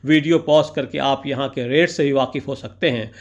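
A man's voice: mid-range voice, steady tone, fairly quick. A man narrating his weekend outing in a voice-over, with flowery phrasing, recited couplets and words of praise. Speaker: vlogger narrating a food outing.

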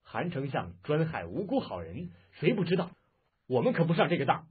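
A man's voice: mid-range voice, brisk, slightly rough. A man voicing a stern, brave young swordsman. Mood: defiant. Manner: firm, steady, calm under threat. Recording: lo-fi phone-like audio.

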